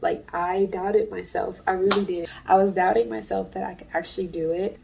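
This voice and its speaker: A woman's voice: mid-range voice, creaky, warm tone, distant mic. A congested woman, an artist and small business owner, talking in a chatty, earnest way to camera in a casual vlog. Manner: chatty and earnest, many fillers. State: congested from allergies.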